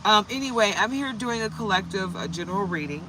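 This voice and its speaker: A hoarse woman from the United States doing a casual, upbeat tarot livestream and greeting viewers by name. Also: mid-range voice, expressive, brisk, background noise. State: hoarse from shouting. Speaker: tarot reader.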